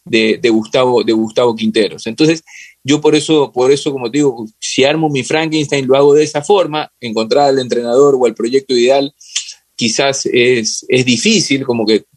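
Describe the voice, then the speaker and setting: A man's voice: medium pitch, fairly even intonation, normal speed, no echo. A man with an Ecuadorian accent talking casually and reflectively as an interview guest, searching for words and often repeating phrases. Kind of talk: casual, reflective football discussion.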